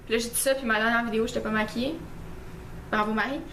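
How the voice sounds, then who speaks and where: A woman's voice: a little high, fairly even intonation, quick pace, fairly bright tone, echoey room, a little hiss or hum, rough recording. A young woman with a Québécois French accent, chatting through a list of facts about herself in a casual, self-mocking way with lots of fillers. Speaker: beauty vlogger. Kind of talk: casual list-style vlog.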